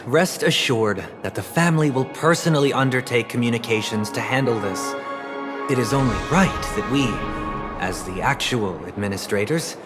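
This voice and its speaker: A man playing a courteous, composed leader of a powerful family in a video game, speaking formally and with measured grace. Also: medium pitch, lightly acted. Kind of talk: English video game voice acting. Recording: music behind the voice.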